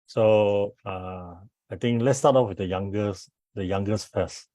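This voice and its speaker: Male panelist, lowish register, very slow casual delivery, dry recording. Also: mellow, no echo.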